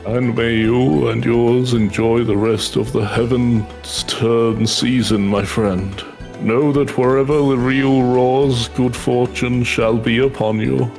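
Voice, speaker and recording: A man voicing a formal, kindly delegation official in a fantasy game, with measured courtly phrasing. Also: mid-range voice, steady tone, creaky, a little mellow. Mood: gracious, benevolent. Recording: game music behind the voice.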